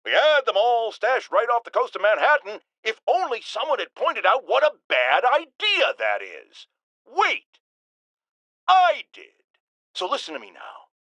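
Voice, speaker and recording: Video game radio ranter: irascible middle-aged man, high forceful delivery, bombastic, theatrical, always indignant at callers and listeners. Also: wildly swooping pitch, unhurried, dry recording, muffled recording, full character voice. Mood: scornful, exasperated, then grave warning. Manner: theatrical, indignant, sarcastic, emphatic. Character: blustering, irascible middle-aged male tabloid radio host. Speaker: call-in radio show host. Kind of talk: bombastic talk-radio ranting.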